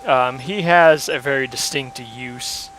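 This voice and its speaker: A hoarse young man giving casual, rambling commentary while playing a game, with lots of ums and uhs. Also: medium pitch, takes it slowly, fairly bright tone, slight background noise, poor audio. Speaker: let's play host.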